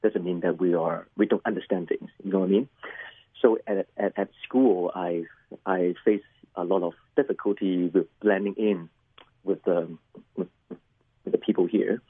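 A middle-aged man from Hong Kong whose first language is Cantonese, a pastor telling his life story in an interview, halting and repeating words with many fillers. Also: deep voice, fairly even intonation, breathy edge, no room sound, phone-quality sound. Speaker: pastor and chaplain, guest. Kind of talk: personal testimony interview.

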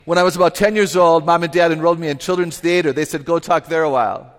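A woman with an unusually low voice gives a lively, humorous talk to a live audience through a microphone. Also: fast. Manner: lively, humorous, emphatic, laughs at herself. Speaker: guest speaker and author. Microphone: microphone on a stage. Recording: live talk with long hall reverb.

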